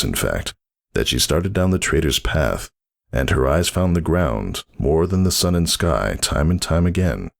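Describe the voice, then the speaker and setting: A man's voice: rumbling low, gravelly. A man narrating a fantasy story in a dramatic, scripted reading style.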